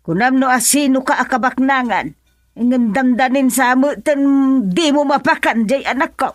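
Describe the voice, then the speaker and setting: A woman's voice: sits high, moderate pace, dry recording, studio quality, faint music behind, natural voice. Stern, scolding older mother-in-law played by an actress. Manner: scolding, reproachful. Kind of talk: Ilocano radio drama acting.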